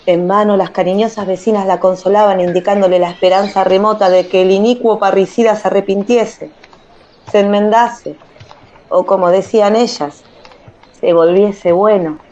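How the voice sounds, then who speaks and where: A woman's voice: medium pitch, steady tone, normal speed, a little mellow. A woman narrating a literary story as a dramatized radio reading. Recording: slightly muffled, music underneath.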